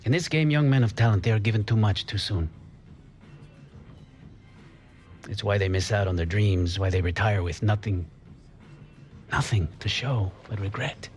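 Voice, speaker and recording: An actor playing an earnest, reflective middle-aged football coach, giving measured speeches in a game cutscene. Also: male voice, fairly low pitch, soft background music, natural voice. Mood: reflective, solemn.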